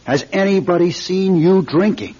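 A man playing an exasperated American campaign manager in a classic radio drama, husky, insistent and pleading. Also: sits high, moderate pace, warm tone. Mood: exasperated, accusing. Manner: insistent, pleading, emphatic repetition. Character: exasperated, urgent male political campaign manager. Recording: dull lo-fi radio recording.

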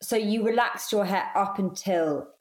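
A woman interviewing a guest in a casual conversation, asking gentle, attentive follow-up questions. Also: mid-range voice, a little slow, roomy sound, poor audio.

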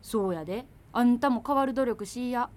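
A woman's voice: fairly high pitch, fairly quick, a little mellow, close to an everyday voice. An actress playing a practical, exasperated girlfriend with a Kansai accent in naturalistic radio drama dialogue, blunt and challenging. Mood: blunt, reproachful.

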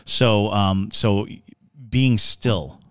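Male co-host, low register, measured explanatory conversational delivery, dry studio-close sound. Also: fairly even intonation, clear, resonant tone, dry recording. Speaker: podcast co-host. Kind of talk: casual explanatory talk.

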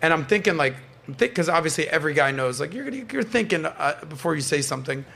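Animated Canadian man hosting a podcast. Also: fairly high pitch, fast talker, creaky. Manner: animated storytelling, swears freely, playful teasing. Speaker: podcast host. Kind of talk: casual panel chat.

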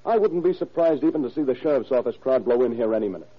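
Husky, brash radio newspaperman. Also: mid-range voice, expressive, quick pace. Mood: pushy, skeptical. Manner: flippant, pushy, slangy. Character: brash, wisecracking male newspaper reporter, cocky and nosy. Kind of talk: old-time radio drama acting. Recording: dull vintage radio recording.

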